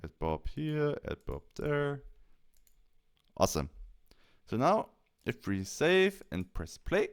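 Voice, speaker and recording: A man presenting a software tutorial as a voice-over, explaining things step by step in plain, short instructional phrases. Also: medium pitch, clear, resonant tone.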